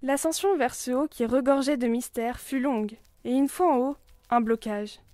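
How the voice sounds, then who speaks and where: A woman's voice: high-pitched, moderate pace, warm tone, no echo, studio quality. A young woman reading a scripted, intimate literary journal as a narrator. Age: young adult. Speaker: student narrator.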